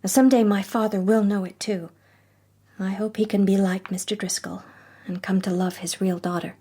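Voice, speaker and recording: A woman acting as a young society lady who works as a detective in a radio mystery. She speaks with refined, heartfelt earnestness and a proud, loyal streak, at a middling pitch. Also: fairly even intonation, moderate pace, dark tone, slightly rough, natural voice. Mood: earnest, reflective.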